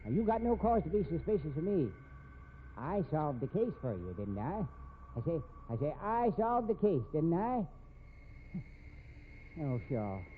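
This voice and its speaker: An actor playing a wily, genial old amateur sleuth in a 1950s radio drama, with a folksy ungrammatical drawl and a habit of repeating himself. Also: male voice, a little high, sing-song, unhurried, long pauses. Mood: evasive, sheepish. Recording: tinny band-limited old radio recording, hissy.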